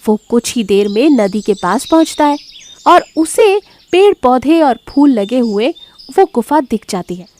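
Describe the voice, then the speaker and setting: A woman's voice: a little high, big pitch swings, measured pace, darkish tone, a little hiss or hum. A woman giving scripted narration of a children's moral story.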